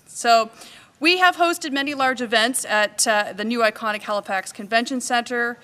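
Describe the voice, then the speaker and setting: A woman's voice: a little high, sharp tone, clean tone, big-room sound. A woman hosting a conference, reading sponsor thanks and a formal welcome at a podium microphone. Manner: brisk, formal thank-you list, then welcoming speech. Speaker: event host. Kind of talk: conference opening remarks. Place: large conference hall. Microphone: podium microphone, at times off.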